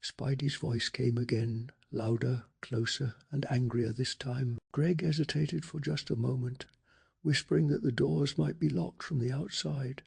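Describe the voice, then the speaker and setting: Hushed, breathy man narrating softly. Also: mid-range voice. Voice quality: breathy, gravelly. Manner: slow, calming, hushed delivery. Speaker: ASMR narrator reading a bedtime story. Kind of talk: soft-spoken ASMR storytelling.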